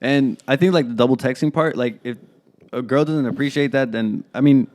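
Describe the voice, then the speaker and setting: A man in his early twenties hosting a podcast, chatting in an easygoing way, asking quick questions and laughing often. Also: mid-range voice, darkish tone. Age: 20s. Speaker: podcast host. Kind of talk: casual chat.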